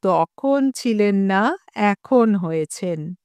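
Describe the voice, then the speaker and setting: A woman voicing a calm, suave gentleman neighbour in an audio story, softly spoken and gently mocking. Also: mid-range voice, extremely slow, clear, resonant tone, dry recording. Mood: wry. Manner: unruffled, soft, gently mocking.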